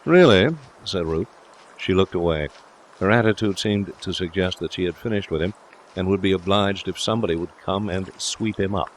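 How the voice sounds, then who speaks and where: A man's voice: low voice, fairly even intonation, a little fast, a little hiss or hum, low-quality recording. A man reading scripted literary narration as an audiobook narrator.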